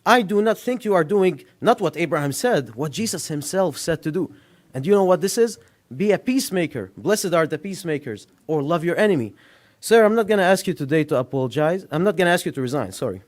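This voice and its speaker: A man speaks at a podium mic in a public meeting room, his voice sitting a bit high for a man. He confronts an official head-on in a public comment, pressing each point firmly. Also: lively intonation, fairly quick. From Lebanon. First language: Arabic. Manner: confrontational, addresses an official directly.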